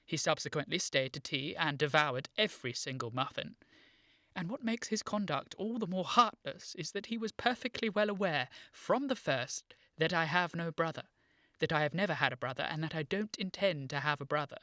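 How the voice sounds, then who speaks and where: An actor playing an earnest, indignant upper-class English gentleman in a radio comedy, with formal and precise politeness. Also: on the high side, animated, moderate pace, dry recording, clean studio sound. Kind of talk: radio play comedy of manners.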